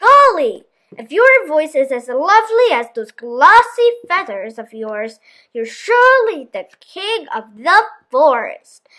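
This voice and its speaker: A woman voicing a sly male fox for a children's storybook, with sugary, theatrical flattery. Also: high-pitched, takes it slowly, exaggerated voice. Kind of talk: children's storybook read-aloud.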